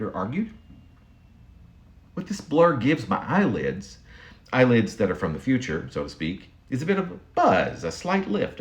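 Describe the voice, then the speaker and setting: Low-voiced man reading poetry with swooping intonation. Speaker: poet reading own work.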